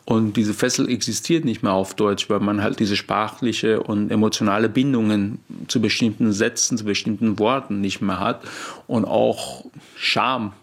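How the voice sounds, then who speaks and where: Gravelly man reflecting as an author. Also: fairly low pitch, fairly even intonation, moderate pace. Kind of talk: interview answers.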